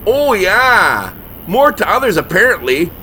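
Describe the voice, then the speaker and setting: Man playing an exasperated, wildly swooping high-voiced sidekick. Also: sing-song, a little slow, pushed and bright, slight background noise, full character voice. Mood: sarcastic, indignant. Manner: sarcastic, whiny. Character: exasperated sarcastic male sidekick, long-suffering, incredulous. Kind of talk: comedy horror audio drama acting.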